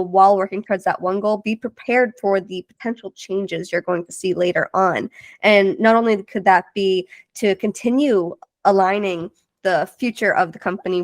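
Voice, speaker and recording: A woman's voice: mid-range voice, no room sound. A woman with a New England accent, co-hosting a podcast and thoughtfully building on the guest's points. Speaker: podcast co-host. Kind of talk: conversational reflection.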